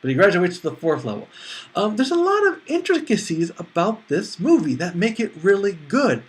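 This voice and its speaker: A man's voice: high voice, very animated, moderate pace. A man giving a casual spoken film review as a movie critic, talking conversationally and acting out his imagined reactions.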